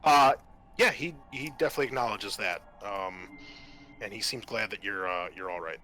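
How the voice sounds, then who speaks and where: Bright-voiced man running a tabletop game. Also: on the low side. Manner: halting, pausing mid-sentence. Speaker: game master. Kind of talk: improvised tabletop game narration.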